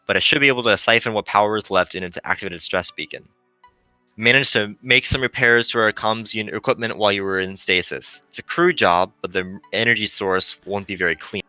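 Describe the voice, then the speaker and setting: A man voicing a gentle, melancholy female shuttle AI, earnestly explaining as it fades in a visual novel. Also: on the low side, a little fast, no room sound, faint music behind, rough recording.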